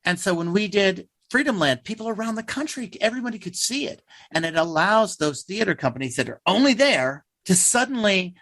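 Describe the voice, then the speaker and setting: A man's voice: high-pitched, animated, a little fast, few pauses, fairly bright tone, dry recording. A man speaking conversationally as a theater guest in an interview, telling things like a storyteller.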